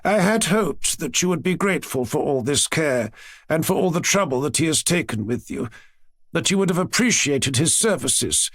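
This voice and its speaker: A man voicing a stern, wounded aristocratic old grandmother in a storybook, slow, emphatic and imperious. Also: a little high, lively intonation, a bit bright, breathy edge, dry recording, clean studio sound, full character voice.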